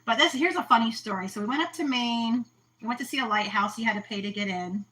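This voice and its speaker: Chatty woman host, crisp bright voice, loves telling stories. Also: a little high, fast talker, low-quality recording. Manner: chatty, storytelling, laughs often. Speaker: host of an aquarium livestream. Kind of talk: casual chat.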